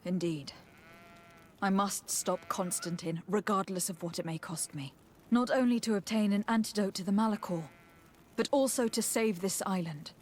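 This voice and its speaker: An actress playing a noble, principled young diplomat in a video game, speaking in a poised, formal and articulate way. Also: female voice, mid-range voice, moderate pace, slight background noise, close to an everyday voice. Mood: resolute, grateful.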